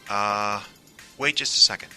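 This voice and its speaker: Male actor as an earnest, stammering friend with a secret, lowish but very bright, forceful voice. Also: a little slow, clean tone, soft background music. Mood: hesitant, insistent. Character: nervous, placating male friend withholding a secret. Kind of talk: naturalistic audio drama acting.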